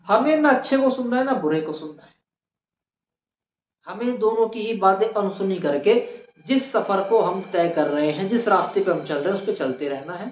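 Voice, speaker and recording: A channel host giving an explainer on exam procedure, repeating key points for emphasis. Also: medium pitch. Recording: echoey room, dull lo-fi, faint noise.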